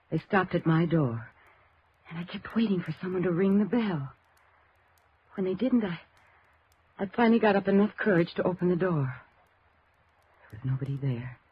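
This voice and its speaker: An American woman playing an anxious, insistent daughter in a 1950s radio drama, halting, breathy and pleading. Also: on the low side, animated, a little hiss or hum, natural voice. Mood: anxious, shaken. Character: frightened, insistent daughter suspecting her stepmother of murder. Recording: muffled vintage radio recording.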